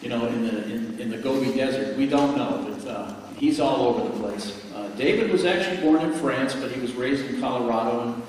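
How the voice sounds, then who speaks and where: An American man in his fifties, a host giving an informal introduction in a halting, hesitant way. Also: mid-range voice, a little mellow. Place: large indoor lecture room. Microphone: handheld mic through room speakers. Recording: very echoey hall, distant, faint noise.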